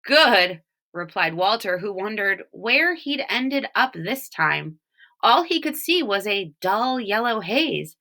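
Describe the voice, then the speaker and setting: A woman reading a children's story aloud expressively, with deliberate emphasis on key words. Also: mid-range voice, big pitch swings, bright, forceful tone. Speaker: storybook reader, teacher reading for home learning.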